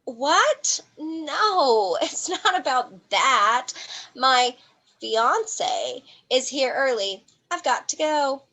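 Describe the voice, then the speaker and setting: Actress doing bratty bored heiress girl, high bright voice, dismissive drawl, comedy play. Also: animated, drawn-out words, heavily acted, low-quality recording. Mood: flustered. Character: spoiled, bored young heiress, dismissive and flippant. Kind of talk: comedic acting in an online play.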